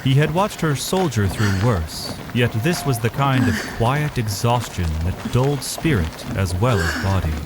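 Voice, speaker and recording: A man's voice: mid-range voice, expressive, unhurried, few pauses, heavy background noise. A man giving dramatic, scripted narration for a fantasy audio drama as its storyteller. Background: music.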